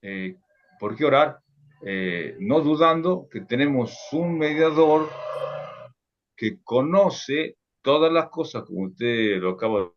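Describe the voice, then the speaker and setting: A man's voice: mid-range voice, animated, takes it slowly, muffled recording. A man taking part in a class discussion, thinking aloud hesitantly with many fillers, heard over a video call. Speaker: student.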